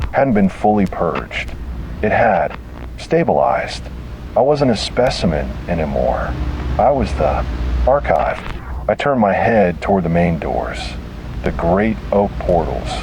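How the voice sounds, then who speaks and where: Low, slow-talking man narrating horror. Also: noisy background. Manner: grave, halting delivery with heavy pauses.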